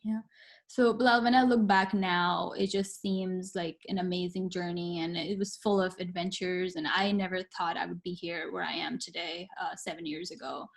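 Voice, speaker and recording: A woman's voice: on the low side, fairly even intonation, moderate pace, gravelly. A young woman from Pakistan, a guest on an interview show, telling her story in an earnest, reflective way with some hesitant fillers. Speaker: guest, medical student.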